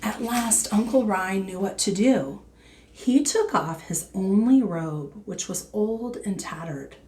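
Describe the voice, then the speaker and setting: A woman teacher reading a storybook aloud to children in an expressive manner. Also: medium pitch. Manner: slow, expressive, addressing young listeners.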